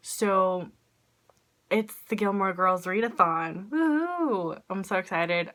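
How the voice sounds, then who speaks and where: Bright-voiced woman with a stuffy nose. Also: on the low side. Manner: rambling, self-deprecating, lots of "like" and "um". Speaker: vlogger. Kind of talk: casual chatty vlog. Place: small room indoors. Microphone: phone camera at arm's length.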